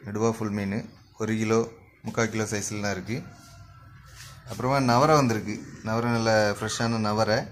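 A man's voice: lowish voice, level tone, slight background noise. Man listing fresh fish at a market stall. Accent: Kanyakumari Tamil. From Kanyakumari district, South India. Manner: brisk repetitive listing, like a vendor calling out stock. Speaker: fish shop owner listing the day's catch. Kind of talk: spoken product rundown for a shop channel.

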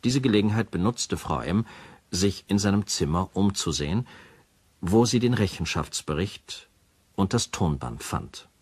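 Low, husky sober narrator. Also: male voice, expressive, measured pace, a little mellow, no room sound, full character voice. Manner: factual, formal. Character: sober male narrator presenting a case file. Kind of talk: scripted radio drama narration, documentary tone.

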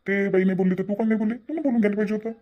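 A man as a fast-talking, sensible Varhadi grandfather. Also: high-pitched, steady tone, dull audio, close to an everyday voice. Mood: chiding. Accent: Vidarbha Marathi (Varhadi). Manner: chiding, reasoning. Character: sensible, hospitable grandfather scolding his tactless wife. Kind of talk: cartoon family drama dialogue.

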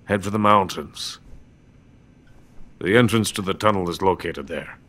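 An actor playing a bitter, proud, drunken older Soviet weapons scientist in a video game, with a brooding, theatrical delivery. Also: male voice, sits low, measured pace, fairly bright tone. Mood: earnest. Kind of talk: dramatic video game cutscene acting. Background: music.

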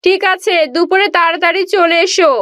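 A woman's voice: very high-pitched, little pitch movement, brisk, a bit bright. A woman voicing a woodcutter's kind, caring wife in a fairy-tale cartoon, homely and agreeable.

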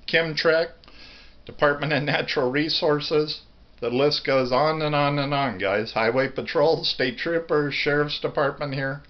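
Middle-aged man, American accent, medium pitch, casual rambling vlog, earnest and plain-spoken, muffled phone camera audio. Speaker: vlogger. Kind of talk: casual rambling video monologue. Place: home kitchen, indoors. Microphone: phone at arm's length.

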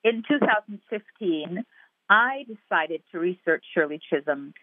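A woman's voice: fairly low pitch, expressive, measured pace, no room sound. Woman from guyana, earnest guest interview, phone line, dry sound. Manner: earnest, reflective, builds in repeated phrases. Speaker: actor and playwright guest. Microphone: telephone.

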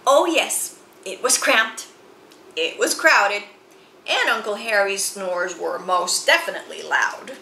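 A woman in her forties reading a children's picture book aloud in a room with bookshelves, telling the story slowly and expressively. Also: mid-range voice, vocal fry, reverberant, a little hiss or hum. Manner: expressive storytelling, slow and animated. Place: room with bookshelves and chalkboard, indoors.

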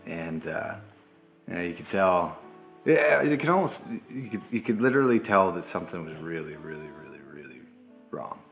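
A man in his twenties telling a personal story in a halting, emotional way, with many restarts, in a living room. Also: low voice, sing-song, creaky, fairly bright tone, soft background music. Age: 20s. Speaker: grieving brother. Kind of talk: personal testimony.

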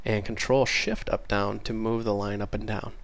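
A man with a congested voice from a cold, casually talking through a coding tutorial while typing, chatty and easily excited. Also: lowish voice, normal speed, dry recording. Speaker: programming tutorial host.

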